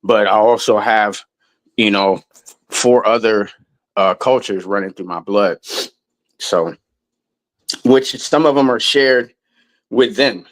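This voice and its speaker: A man's voice: on the low side, slow pace, pauses often, low-quality recording. A man in a casual interview, talking in a loose, rambling way with lots of restarts and some swearing. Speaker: guest musician.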